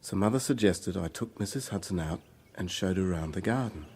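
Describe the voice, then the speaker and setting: A man's voice: low-pitched, expressive, a little fast, darkish tone, slightly rough, exaggerated voice. An actor playing a troubled young man making a hesitant, candid confession in a radio drama. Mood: candid, wry.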